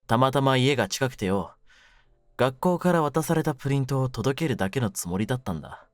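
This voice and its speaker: A man playing a cynical, wounded young mafia kidnapper in an audio drama, with a low voice and rough, brooding delivery. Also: no room sound, clean studio sound, natural voice. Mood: wistful. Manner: rough masculine slang, taunting, brooding delivery. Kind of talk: Japanese situation-voice audio drama, ASMR.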